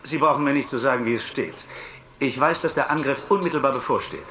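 Forceful intelligence chief played by a man. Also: medium pitch, fast talker, a little hiss or hum, natural voice. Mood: earnest, tense. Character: earnest male western intelligence chief. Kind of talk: 1980s German science fiction radio play acting.

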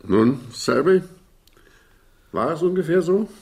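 An actor playing a calm, wry, knowing man in a radio play, with a gravelly voice. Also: a little high, takes it slowly, exaggerated voice. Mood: wry, amused. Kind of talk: 1970s German radio play dialogue.

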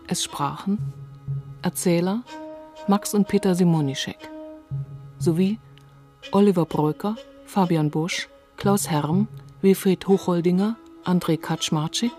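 A woman radio announcer reading scripted credits in a measured, formal way. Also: fairly low pitch, warm tone.

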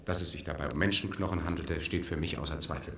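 Deep-voiced, deliberate sound experimenter. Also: male voice, fairly even intonation, vocal fry, fairly bright tone, reverberant, exaggerated voice. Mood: explanatory. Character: male sound experimenter, erudite, eerily keen on demonstrations. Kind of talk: German science-fiction radio play dialogue.

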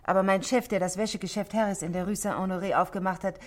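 An actress playing a self-possessed, guarded married shop manageress in a radio drama, speaking precisely and defensively. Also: female voice, medium pitch, steady tone, quick pace, darkish tone, natural voice. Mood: composed, proud. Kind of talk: 1960s German radio drama acting.

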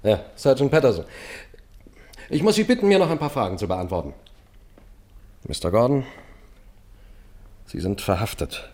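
An actor playing an insistent police sergeant in a radio drama, questioning in a commanding, probing and deliberate manner. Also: male voice, mid-range voice, very animated, a little mellow, close to an everyday voice. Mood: businesslike. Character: brisk, insistent male police sergeant interrogating a suspect. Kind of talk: German radio drama acting.

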